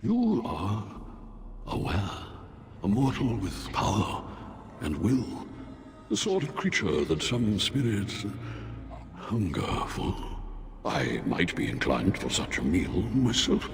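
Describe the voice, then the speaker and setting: An actor playing a lazy, weary beast spirit in a video game, with a languid, condescending drawl. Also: male voice, lowish voice, huge pitch swings, slow, long pauses, heavily acted. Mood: languidly threatening. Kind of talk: video game character acting. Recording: long reverb, music behind.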